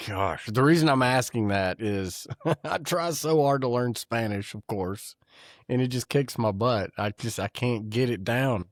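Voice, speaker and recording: A middle-aged man hosting a conversational interview, folksy and curious, with quick reactions. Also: mid-range voice, no echo, clean studio sound. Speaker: podcast host.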